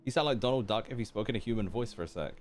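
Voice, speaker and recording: A man's voice: fairly low pitch, rapid-fire. A man giving casual, slangy, laid-back live commentary as a game streamer. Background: game music.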